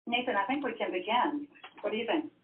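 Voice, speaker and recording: A woman's voice: medium pitch, lively intonation, quick pace. A woman speaking in a courteous, orderly way as she chairs a formal committee meeting over a conference call. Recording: dull conference call with noticeable noise.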